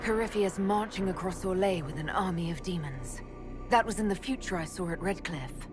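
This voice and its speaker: An actress playing a stern heroic leader in a video game cutscene, speaking in a firm, direct, serious way. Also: female voice, lowish voice, moderate pace, music in the background, lightly acted. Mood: grave. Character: stern heroic woman leader confronting a villain.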